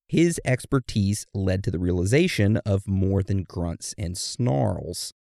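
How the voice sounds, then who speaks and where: Low-voiced man hosting a chat. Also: vocal fry, darkish tone, dry recording, clean studio sound. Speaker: podcast co-host. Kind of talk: casual chat reading out stories.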